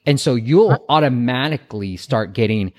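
A man with a mid-range voice coaches a caller through marketing tips. He speaks in a chatty, quick way and laughs now and then. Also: medium pitch, animated, a little mellow. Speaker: app marketing advisor. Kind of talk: casual advice call.

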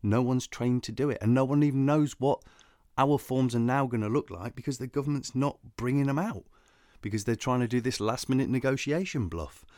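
A man co-hosting a podcast, explaining his points in a steady, earnest way. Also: mid-range voice, brisk, no room sound, studio quality. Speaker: podcast co-host. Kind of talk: casual chat.

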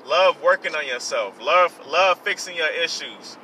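Earnest young man, bright thin voice. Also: a little high, lively intonation, a little slow, recorded from a distance, a little hiss or hum, low-quality recording. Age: 20s. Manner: earnest, repetitive, self-reflective, with many fillers. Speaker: podcast host. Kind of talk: personal motivational monologue.

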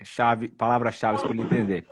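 Halting man on a dry mic. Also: medium pitch, little pitch movement, breathy edge, dry recording. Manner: hesitant, often restarts and repeats words. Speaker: host of an online live stream. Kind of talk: casual live chat.

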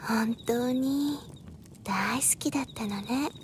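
A woman's voice: fairly high pitch, slow pace, a little hiss or hum. An actress playing a motherly, sympathetic mature woman in an audio drama, soothing and advising with feminine phrasing.

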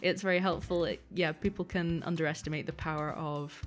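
A woman with a low-pitched voice chatting casually as a podcast guest. She is thoughtful and a little tentative, with a modest way of putting things, over faint music. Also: steady tone, brisk. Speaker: podcast guest, audio fiction producer. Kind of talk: casual interview chat.

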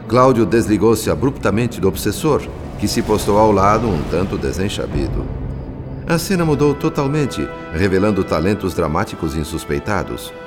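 Deep, reverberant solemn narrator. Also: male voice. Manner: solemn, theatrical. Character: solemn, devout male spirit narrator, measured and moralizing. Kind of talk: dramatic scripted narration, radio drama. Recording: long cavernous reverb.

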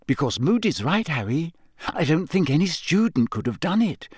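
A man's voice: on the high side, sing-song, normal speed, slightly rough, no room sound, clean studio sound, pitched above the performer's own voice. A man voicing an earnest, clever teenage schoolgirl who talks urgently and insistently.

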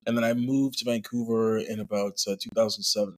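A man's voice: on the low side, steady tone, a little fast, a little hiss or hum, rough recording. Easygoing male guest from Montreal. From Montreal, Quebec, Canada. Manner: easygoing, rambling, with "like" and "um" fillers. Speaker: guest actor. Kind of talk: casual interview chat.

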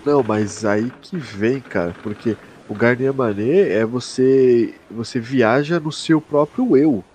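A man's voice: mid-range voice, takes it slowly, darkish tone, a little hiss or hum. A young man with a Brazilian accent chatting casually as a podcast co-host, slangy and free with swearing. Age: young adult. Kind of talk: casual chat.

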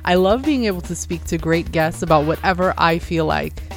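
A woman hosting a podcast, reading her own promo upbeat and clearly before interviewing a guest. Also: on the low side, normal speed, soft background music. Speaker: podcast host.

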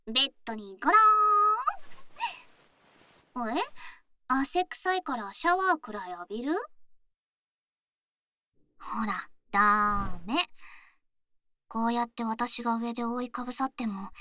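A woman playing a caring, teasing young athletic girlfriend in an intimate Japanese ASMR voice drama, coaxing and playfully scolding, often slipping into breathy whispers. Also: fairly high pitch, very animated, slow, long pauses, pushed and bright, heavily acted.

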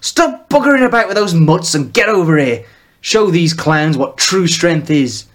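A man voicing an older, domineering male clan patriarch with a gravelly, bassy northern English accent and a commanding manner. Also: expressive, moderate pace, a bit bright. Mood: contemptuous. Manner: commanding, contemptuous.

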